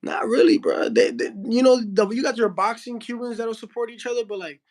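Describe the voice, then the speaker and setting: Young Miami heavyweight, Spanish first language, high voice, slangy casual interview talk full of restarts. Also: male voice, steady tone, fairly quick. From Miami, Florida. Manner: slangy, says bro a lot, frequent restarts. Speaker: heavyweight boxer.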